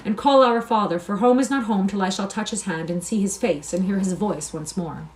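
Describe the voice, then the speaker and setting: An eager young soldier back from the wars, read by a woman, pouring out old-fashioned, impassioned speech in a voice of middling pitch. It comes from a storybook reading. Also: expressive, normal speed, slight background noise, natural voice. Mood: elated. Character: eager young male soldier returning home.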